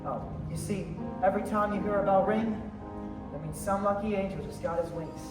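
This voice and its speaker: A young man playing a gentle, innocent, centuries-old guardian angel in a high school stage radio play, explaining things earnestly. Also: a little fast, natural voice. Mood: gentle wonder. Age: young adult. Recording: distant echoey theater recording with music.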